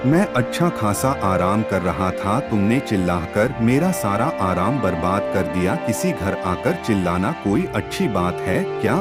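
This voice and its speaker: A man playing an arrogant, selfish village farmer with a scornful manner in a cartoon moral tale. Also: on the low side. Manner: scornful, boastful.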